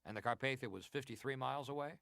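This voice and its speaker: An actor playing a senator who questions a witness formally and deliberately in a dramatized historical hearing. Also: male voice, mid-range voice, gravelly, a bit bright, dry recording, clean studio sound. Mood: formal, probing. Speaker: actor reading hearing testimony.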